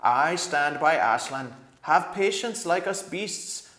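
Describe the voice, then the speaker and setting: A man's voice: a little high, expressive, slow pace, fairly bright tone, roomy sound, pitched above the performer's own voice. A man voicing a loyal talking badger in a storybook, earnest, firm and reproving. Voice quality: thick voice.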